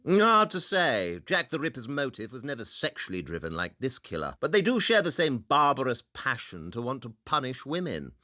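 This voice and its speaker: Shrewd, self-assured male detective actor, audio drama; deliberate, theatrical, sharp voice with lively swings. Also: mid-range voice, no echo, clean studio sound. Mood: pensive, intense.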